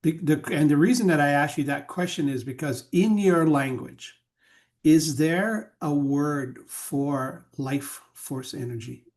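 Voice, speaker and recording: A man's voice: on the high side, unhurried, a little mellow. A man, a spiritual counselor, speaking on a video call and asking questions with many repeated words. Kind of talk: casual talk on a video call.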